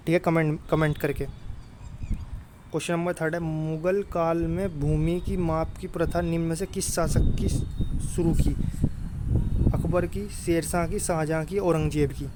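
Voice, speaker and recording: A man's voice: fairly high pitch, level tone, measured pace, long pauses. Male exam tutor, informal and familiar, very level intonation, slightly noisy recording. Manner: repeatedly checks in with listeners in a familiar, brotherly way. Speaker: exam prep teacher. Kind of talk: informal exam coaching talk. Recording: clear background noise.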